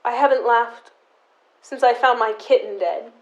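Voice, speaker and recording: A young woman playing a stubborn, embittered, protective sister in an audio drama, with deliberate, resentful delivery. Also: on the high side, muffled recording, lightly acted. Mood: brooding. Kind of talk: high school audio drama acting.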